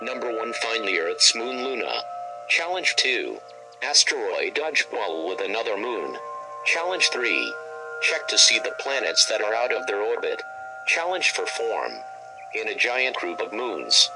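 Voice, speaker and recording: A man's voice: on the low side, very animated, measured pace, over music, low-quality recording. A man delivering scripted, ominous emergency broadcast announcements in the role of an alert announcer.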